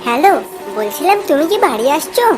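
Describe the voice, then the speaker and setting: A woman's voice: sits high, big pitch swings, fast, over music. A woman voicing a worried, outspoken villager in a cartoon, with an anxious, colloquial way of talking. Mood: anxious warning.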